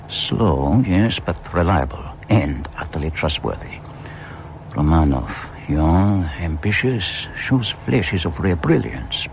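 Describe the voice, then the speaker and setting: An actor in a radio drama playing a calculating Soviet intelligence official who speaks in clipped, deliberate musings. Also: male voice, a bass voice, full character voice. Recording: noisy background ambience.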